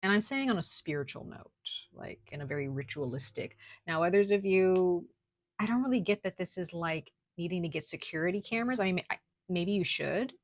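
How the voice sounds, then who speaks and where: A woman giving a casual tarot reading at home, speaking softly at times and peppering her talk with "like" and "okay?". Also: lowish voice, fairly quick, no room sound. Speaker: tarot reader. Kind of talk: casual spiritual reading to camera. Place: at home, noise outside.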